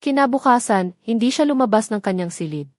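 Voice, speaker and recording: A woman's voice: on the high side, animated, fairly quick, darkish tone, dry recording, studio quality. A woman with a Filipino accent reading a scripted dramatic story as its narrator.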